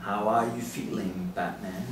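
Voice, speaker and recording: Brief: actor doing sinister mocking villain, low-ish voice, distant echoey room. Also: male voice, fairly even intonation, unhurried, natural voice. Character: sinister male villain in a sack mask, mocking. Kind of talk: table read. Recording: echoey room from far off with faint noise.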